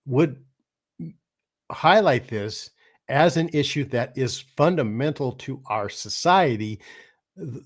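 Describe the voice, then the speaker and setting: A man hosts a podcast with a throaty voice from a cold. His pitch sits in the middle range. His commentary is earnest, and he restarts his sentences often. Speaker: host.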